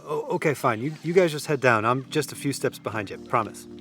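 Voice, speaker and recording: A man playing a distracted, reluctant young gamer in an audio drama, halting and offhand. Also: mid-range voice, fairly bright tone, soft background music, natural voice. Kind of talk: naturalistic audio drama acting.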